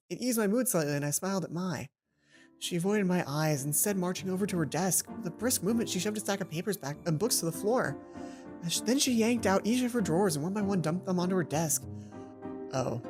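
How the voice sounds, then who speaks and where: Expressive woman reading a game aloud over music. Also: on the low side. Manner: expressive, acts out lines, then chatty asides. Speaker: let's play host. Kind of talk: reading visual novel aloud with casual commentary.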